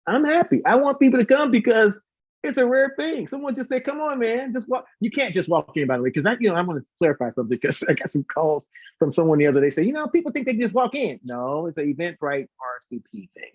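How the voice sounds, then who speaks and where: A man's voice: high-pitched, sing-song, quick pace, no room sound. Dull lo-fi recording, guy chatting away in an interview, informal, repeats stuff for emphasis, close dry sound. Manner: talkative, informal, emphatic repetition. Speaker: guest, singer and concert organizer. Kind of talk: casual interview chat.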